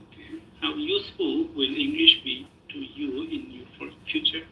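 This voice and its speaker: A man's voice: very high-pitched, fairly even intonation, normal speed, vocal fry, echoey room, muffled recording, slight background noise. A man on a video call reading out practice test questions in a brief, hesitant way. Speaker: practice examiner asking questions.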